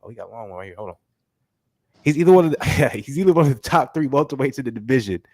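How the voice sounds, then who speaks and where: A man with a stuffy, congested voice hosts a relaxed boxing talk show. He talks in animated bursts of slang and keeps checking in with "you know what I'm saying". The sound is close and dry, with a middle-height voice. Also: mid-range voice, racing, no echo. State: stuffy, sick with a cold. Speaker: host.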